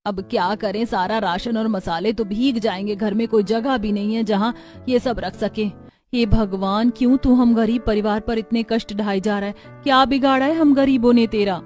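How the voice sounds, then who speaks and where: A woman plays a caring, resourceful young village mother in a cartoon. Her voice sits fairly high and is very soft-edged and mellow, with light music behind. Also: fairly even intonation, normal speed, lightly acted. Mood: distressed.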